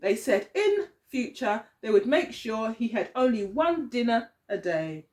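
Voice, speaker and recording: A woman teacher reading a picture story aloud to young children, expressively, often pausing to put questions to them. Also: a little high, sing-song, darkish tone.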